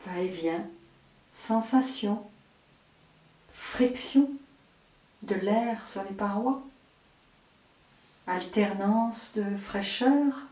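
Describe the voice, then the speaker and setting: A woman leading a guided yoga nidra meditation as an instructor, in measured short phrases with long pauses. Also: mid-range voice, darkish tone. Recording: roomy echo, distant microphone.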